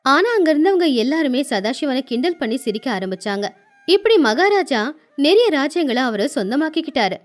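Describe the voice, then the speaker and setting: A woman narrating a moral folktale as an animated storyteller. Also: sits high, fairly quick, faint music behind.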